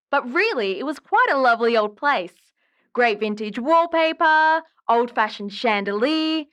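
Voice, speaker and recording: Audio drama caller: aggrieved, gossipy woman, high and very animated. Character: exasperated, dramatic woman caller griping about her ghost ex. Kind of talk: audio drama phone-in acting.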